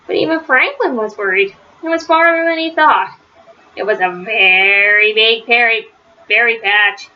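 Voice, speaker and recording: A woman's voice: a little high, measured pace. A woman reading a children's story aloud as a storyteller. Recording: slightly dull, faintly noisy recording.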